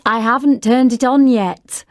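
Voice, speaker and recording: A woman's voice: a little high, fairly even intonation, unhurried, no room sound, clean studio sound. A woman voicing a cheerful, patient inventor father in a children's story, explaining in an encouraging way. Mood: amused.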